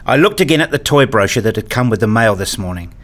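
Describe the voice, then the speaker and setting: A man playing a wistful, fussy married father, narrating a radio play in the first person in a measured, reflective way. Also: on the low side, lively intonation, a bit bright.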